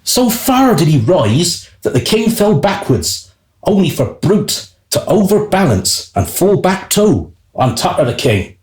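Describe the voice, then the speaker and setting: An actor playing a wry, self-serving servant who narrates a radio play in an arch, deliberate storytelling manner. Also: male voice, high voice, very animated, a little mellow, echoey room, rough recording. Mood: amused.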